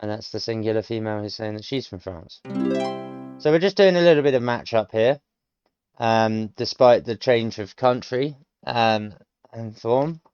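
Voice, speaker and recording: A man's voice: mid-range voice, moderate pace, a little mellow, clean tone, no echo, lo-fi sound, soft background music. A British man in his late thirties, thinking aloud as he works through a language course, explaining each answer step by step with frequent hesitations. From United Kingdom. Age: late 30s. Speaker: self-taught learner streaming. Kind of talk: thinking aloud while working through lessons.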